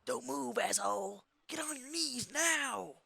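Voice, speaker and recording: A woman playing a frantic, aggressive police officer in a fan dub, shouting commands. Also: high-pitched, expressive, unhurried, gravelly, heavily acted. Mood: urgent, aggressive. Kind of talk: fan-dub dramatic acting.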